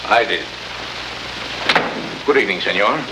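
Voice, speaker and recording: An actor playing a stern, courteous Latin police lieutenant in an old radio drama, speaking formally with polite menace. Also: male voice, lowish voice, animated, a little slow. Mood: guarded, formal. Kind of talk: 1950s radio drama acting. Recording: noisy old radio recording, heavy hiss.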